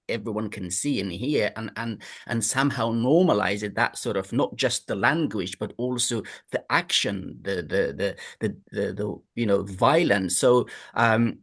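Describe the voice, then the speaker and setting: A man from Afghanistan speaking in a group discussion, halting and repeating words. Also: lowish voice. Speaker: discussion participant.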